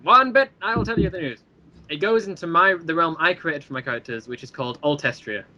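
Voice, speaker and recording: A man with a forceful, bright voice chats as the guest on a casual interview. He talks quickly and a little high, rambling through explanations. Now and then he slips into jokey showman asides. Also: muffled recording. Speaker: interview guest, creator of a fan web series.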